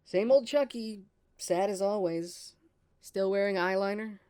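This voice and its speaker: A woman's voice: mid-range voice, a little slow, a little mellow, smooth, close to an everyday voice. A woman acting a brash, sardonic young woman in an audio drama, with a dry, teasing manner. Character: brash, teasing young woman, bored with small-town life.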